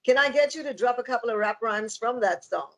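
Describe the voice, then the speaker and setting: A woman's voice: a little high, a little fast, a bit bright. A woman radio host interviewing a guest, encouraging her with frequent agreement. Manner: encouraging, frequent "yeah" and "right". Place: radio studio.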